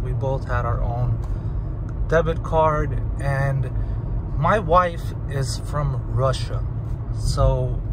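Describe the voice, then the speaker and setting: A man in his thirties, born in the USSR and raised in Hollywood, talking bluntly and with plenty of profanity while driving at night. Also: medium pitch. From born in the USSR, raised in Hollywood, California. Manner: blunt, profane, conversational, lots of "man" and "right?". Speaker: vlogger. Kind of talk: casual monologue while driving. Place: inside a car driving at night on city streets. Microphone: phone or camera mounted on the dashboard. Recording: loud car and road noise.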